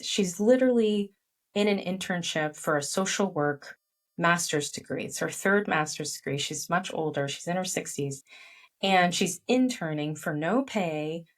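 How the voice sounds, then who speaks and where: A middle-aged woman, a guest author whose first language is Chinese, telling personal stories in an animated, candid way, full of "like" fillers. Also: fairly low pitch, normal speed, far from the mic. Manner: animated storytelling with frequent "like" fillers. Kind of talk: candid interview conversation.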